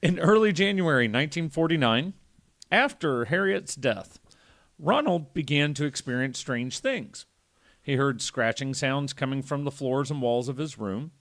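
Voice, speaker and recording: A man's voice: mid-range voice, lively intonation, unhurried, fairly bright tone. Male podcast host, mid-range voice. Reads a story, drops in irreverent, sweary commentary. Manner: irreverent, profane. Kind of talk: reading a story aloud with casual joking asides.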